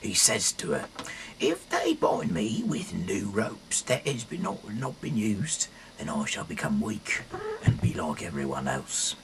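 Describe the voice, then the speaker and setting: A man reading scripture aloud in a halting, rambling way, often correcting himself and dropping in casual asides. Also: on the low side, wildly swooping pitch, a little hiss or hum. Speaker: amateur reader of a Bible audio series.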